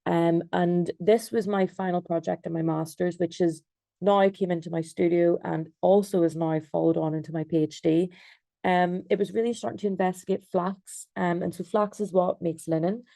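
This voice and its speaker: Irish woman talking thru her slides on a zoom call, low flat voice, lots of ums. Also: moderate pace, vocal fry, no room sound. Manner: hesitant, many fillers and restarts. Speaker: designer and researcher. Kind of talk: presentation over video call. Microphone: video call.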